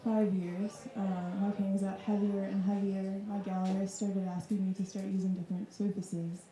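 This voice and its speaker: A woman's voice: mid-range voice, little pitch movement, normal speed, dark tone. A woman in her thirties from Wisconsin, talking thoughtfully about her art practice in front of an audience. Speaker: artist guest. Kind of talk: casual reflective talk. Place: club room with audience. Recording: echoey room.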